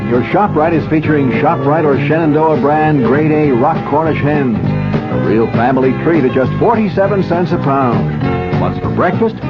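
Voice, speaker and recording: A man reading a 1970s radio advertisement as a commercial announcer. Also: fairly high pitch. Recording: muffled 1970s radio broadcast over music.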